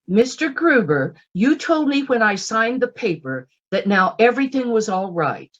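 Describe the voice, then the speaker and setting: A woman's voice: a little high, sing-song, moderate pace. A distressed young housekeeper in a Western radio play. Her apologetic voice rises and falls expressively, in a slightly muffled, lo-fi recording. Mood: pleading. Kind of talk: Western radio drama acting.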